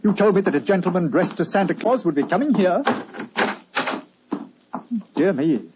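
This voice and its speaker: A man's voice: high-pitched, lively intonation, normal speed, noisy background. A man playing a deferential, apologetic English butler in an old-time radio detective drama, formal in manner. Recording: dull old radio recording.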